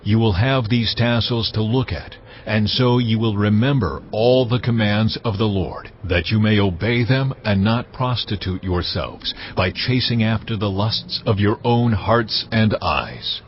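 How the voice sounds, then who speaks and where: Man voicing a deep, commanding God. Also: slight background noise, lightly acted. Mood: solemn, commanding. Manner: measured, authoritative, deliberate. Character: God, solemn commanding male deity giving laws. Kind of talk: dramatised scripture reading. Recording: slightly dull audio with music behind. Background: background music.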